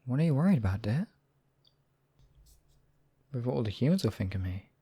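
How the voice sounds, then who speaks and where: A man performs as a demon king in an intimate audio roleplay. The voice is husky and full of gravelly fry, sitting at a middling pitch. Lines are delivered theatrically and deliberately, with lingering pauses, as a possessive yet devoted lover. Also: big pitch swings, dark tone. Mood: warm, reassuring. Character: demon king, menacing captor act turning tender, devoted lover. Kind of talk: intimate ASMR roleplay acting.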